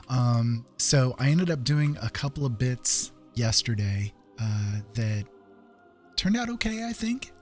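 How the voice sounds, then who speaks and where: A man's voice: medium pitch, darkish tone. Rambling man chatting over background music. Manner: halting, rambling, easygoing. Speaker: streaming digital artist and host. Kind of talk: casual livestream chat while painting. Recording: music playing under the voice.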